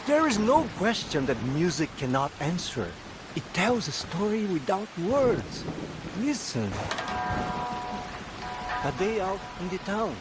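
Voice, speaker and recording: A melancholy elder music master in a game cutscene. He speaks poetically and earnestly with an animated, swinging pitch, over loud music. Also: measured pace. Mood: gentle, welcoming. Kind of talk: dramatic video game cutscene acting.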